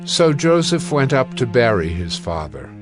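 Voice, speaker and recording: A man delivers solemn scripted Bible narration in a medium register. His reading is measured and formal. Gentle music runs quietly behind the voice. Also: medium pitch, big pitch swings.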